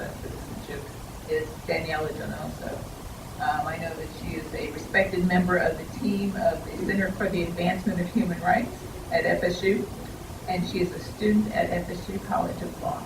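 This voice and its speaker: A woman with a low, gravelly voice introduces the next speaker in a formal way. She sounds far from the mic in a reverberant hall with clear background noise. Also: fairly even intonation, moderate pace. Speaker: moderator. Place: church hall. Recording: echoey noisy hall from far off.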